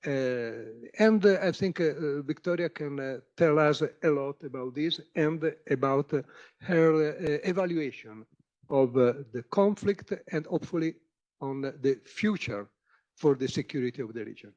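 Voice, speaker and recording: Halting man, dull audio. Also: a little high, a little mellow. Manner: halting, many filler sounds. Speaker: panel moderator. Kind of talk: conference panel introduction.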